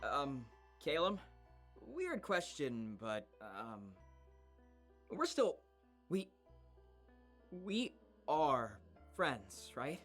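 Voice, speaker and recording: A man's voice: fairly high pitch, wildly swooping pitch, drawn-out words, long pauses, a bit bright, soft background music, heavily acted. A man playing an earnest, defensive, relentlessly upbeat boy in a visual novel, easily wounded. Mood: hurt, confused.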